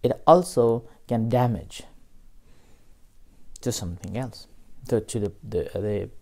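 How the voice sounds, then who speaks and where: Calm guy from nepal teaching meditation, slow and mellow, webcam at his desk. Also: fairly low pitch, creaky. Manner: slow, gentle, with pauses and fillers. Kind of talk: dharma talk. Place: small room indoors.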